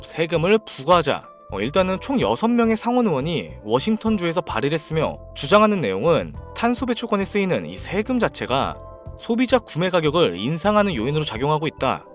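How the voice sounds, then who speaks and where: Lively male stock briefing host over music. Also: fairly high pitch, a little fast. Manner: presenter-like, addressing viewers directly. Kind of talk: scripted stock market narration.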